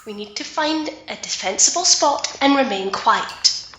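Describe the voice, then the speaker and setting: A woman acts out a determined alchemist in a fantasy audio drama. Her voice is high, husky and forceful, with a tense, sharp-tongued urgency. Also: lively intonation, a little slow, full character voice. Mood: irritated, resolute.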